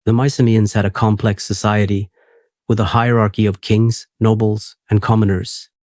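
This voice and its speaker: A man hosting a sleep podcast, reading a script in a steady, unhurried, soothing way. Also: on the low side, no room sound, clean studio sound.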